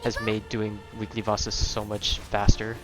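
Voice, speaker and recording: A man's voice: on the low side, monotone, a little slow, rough recording. A man live streaming a video game, thinking aloud in casual, rambling commentary that often trails off. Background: video game music.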